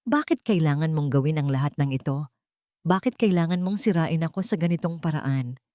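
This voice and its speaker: A woman voicing a betrayed wife in an audiobook drama, coldly calm, accusing and unforgiving, with controlled, cutting delivery. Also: low voice, brisk, no echo, clean studio sound. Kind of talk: dramatic audiobook character acting.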